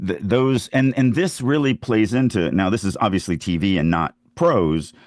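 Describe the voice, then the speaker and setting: A man's voice: lowish voice, normal speed, darkish tone, dry recording, studio quality. A man hosting a casual podcast chat about writing, speaking emphatically and acting out examples to make his points. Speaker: podcast host and writing coach.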